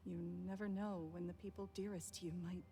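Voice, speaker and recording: An actress playing a gentle, nostalgic, romantic woman in a video game, speaking wistfully. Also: lowish voice, flat delivery, a little fast, a little hiss or hum, lightly acted. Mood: tender, nostalgic. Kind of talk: game voice acting.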